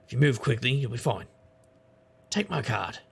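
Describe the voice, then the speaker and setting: Man playing a husky, gravelly noir detective. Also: medium pitch, moderate pace, heavily acted. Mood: wry, tense. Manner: dry, wry, deadpan. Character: sardonic world-weary male hard-boiled private investigator. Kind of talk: noir audio drama, first-person narration and dialogue.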